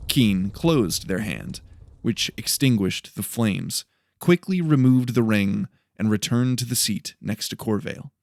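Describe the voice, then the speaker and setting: A man's voice: lowish voice, lively intonation, unhurried, gravelly, no echo, studio quality. A man reading scripted story narration as the narrator of an audiobook.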